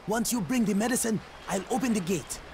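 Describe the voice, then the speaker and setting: An actor playing a guarded, blunt young local gatekeeper in a video game who drives a bargain. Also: male voice, sits high, fairly quick, vocal fry, noisy background. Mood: guarded, worried. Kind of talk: video game cutscene acting.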